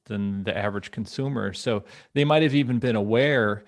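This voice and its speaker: A man in casual podcast conversation, speaking in a halting, wordy and jokey way. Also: fairly low pitch. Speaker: podcast panelist.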